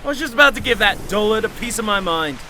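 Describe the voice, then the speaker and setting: An actor playing an excitable, hot-tempered deckhand who is chatty and quick to take offence, in an audio drama. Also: male voice, sits high, a little hiss or hum, heavily acted. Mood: indignant. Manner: chatty, indignant, exclamatory.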